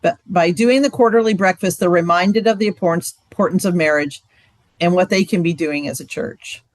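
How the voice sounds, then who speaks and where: A woman's voice: lowish voice, fairly quick, no room sound. A woman co-presenting informally in a conversational way, posing questions and then answering them. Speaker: co-presenter in marriage ministry.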